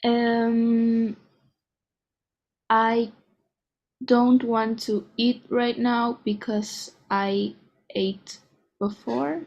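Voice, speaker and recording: A female student answering in class, slow and hesitant. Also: fairly high pitch, flat delivery, vocal fry, darkish tone. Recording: dull sound, heard from off-mic in the classroom.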